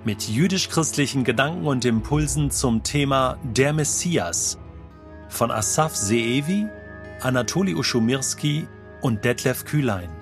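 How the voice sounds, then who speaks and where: A man's voice: medium pitch, animated, unhurried, faint music behind. A man speaking as a podcast host, introducing guests and asking questions in a studio.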